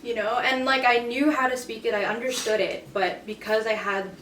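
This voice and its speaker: A woman's voice: a little high, fairly even intonation, normal speed, fairly bright tone. Young woman chatting, heard from across a room. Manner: hesitant, many fillers. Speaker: guest in a group discussion. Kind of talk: casual chat. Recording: roomy, from a distance, faint noise.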